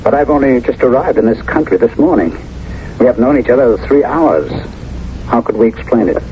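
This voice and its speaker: An actor playing a troubled, earnest war veteran in love in a 1970s radio drama, speaking hesitantly. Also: male voice, mid-range voice, animated, fairly quick, lightly acted. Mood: earnest, smitten. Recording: dull, noisy old radio recording.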